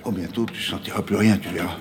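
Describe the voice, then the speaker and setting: A male actor playing a worn, middle-aged homeless man haunted by lost love, colloquial and gruff, in a naturalistic radio drama. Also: low voice, fairly even intonation, quick pace, a little hiss or hum, lightly acted. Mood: fond, easygoing. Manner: colloquial, gruff, emotionally volatile.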